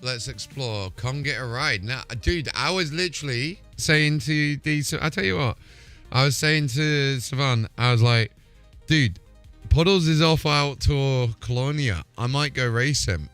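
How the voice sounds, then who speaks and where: A man's voice: mid-range voice, unhurried, fairly bright tone, clean tone, faint music behind. A man chatting casually on a game stream in an informal, matey, sweary way while talking through technical fixes. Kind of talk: casual live stream chat, troubleshooting software.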